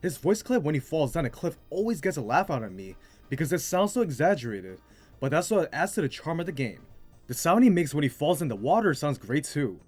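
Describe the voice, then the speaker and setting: Animated man with a higher-pitched voice. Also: a little fast. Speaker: video host. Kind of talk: scripted narration, video game commentary. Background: music.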